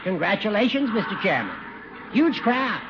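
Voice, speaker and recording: An actor playing a fussy, courtly, excitable gentleman in an old radio comedy. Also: high voice, moderate pace. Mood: delighted. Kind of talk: radio sitcom acting. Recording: muffled, very noisy old radio recording.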